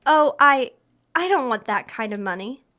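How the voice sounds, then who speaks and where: A woman's voice: high voice, lively intonation, normal speed, sharp tone. An actress playing a loyal, earnest woman in an old-time radio drama. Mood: earnest, modest.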